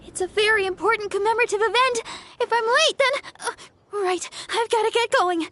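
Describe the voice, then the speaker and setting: A woman's voice: very high voice, moderate pace, fairly bright tone, a little hiss or hum. A woman playing a timid, trusting, easily flustered young event organizer in a video game, hesitant, stammering and earnest. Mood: anxious.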